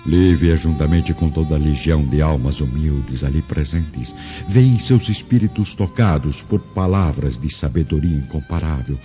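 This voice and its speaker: An actor playing the narrator of a radio drama, solemn, deliberate and literary in his scripted storytelling. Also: very deep voice, dark tone. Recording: dull lo-fi recording, faint music behind. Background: faint music.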